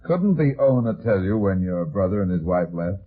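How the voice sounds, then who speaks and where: An actor playing a brisk, probing private detective in a 1950s radio drama, with clipped questions and a muffled vintage sound. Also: male voice, low-pitched, very animated, slightly rough, full character voice. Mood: brisk, firm. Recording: muffled old radio broadcast recording.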